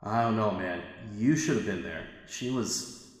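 A man's voice: on the low side, a little slow, close to an everyday voice. A man playing a worried, mild-mannered boyfriend in an audio drama, speaking in a hesitant, casual way. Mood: uneasy. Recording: roomy, long echoing reverb.

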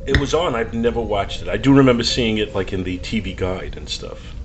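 A man's voice: lowish voice, a little fast, highs cut off, slight background noise. A man hosting a podcast, chatting casually, teasing and laughing with restarts. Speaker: podcast host. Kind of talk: casual chat.

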